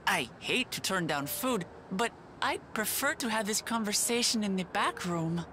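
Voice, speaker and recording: An actress playing an easygoing, curious young woman in a video game, with a casual, measured way of talking. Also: medium pitch, lively intonation, pushed and bright, a little hiss or hum. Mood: relenting.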